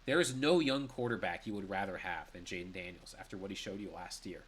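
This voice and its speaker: A man's voice: deep voice, a bit bright, breathy edge, rough recording. A young man with a stuffy, congested voice, giving a solo reaction and analysis of sports news. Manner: brisk and analytical, with emphatic stress.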